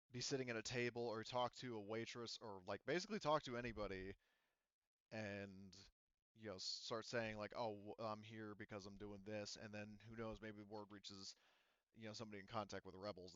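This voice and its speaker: A man's voice: lowish voice, steady tone, a little fast, smooth, dry recording. A man acting as game master, talking casually through tabletop game options in rambling, hedging explanations, over a slightly dull voice-chat connection. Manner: rambling, hedging explanations full of "like" and "you know".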